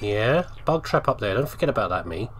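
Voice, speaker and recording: Man, casual gamer commentary, animated intonation, rambling, talking to himself, faint background game noise. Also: fairly low pitch, fairly quick.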